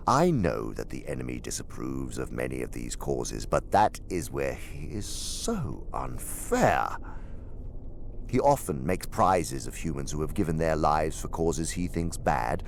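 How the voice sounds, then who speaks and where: A cunning old-hand demon in a radio play, very low and gravelly. He swings theatrically from pompous lecturing to sinister whispers, with music underneath. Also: full character voice. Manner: theatrical, savoring, sardonic, slipping into whispers. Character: senior demon uncle, cunning, pompous, menacing schemer. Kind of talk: radio theater dramatic monologue.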